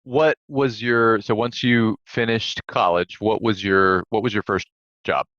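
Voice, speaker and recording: A man's voice: lowish voice, fairly even intonation, measured pace, fairly bright tone. A man hosting a podcast interview, asking friendly, affirming questions. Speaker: podcast host, former student. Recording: dull lo-fi sound.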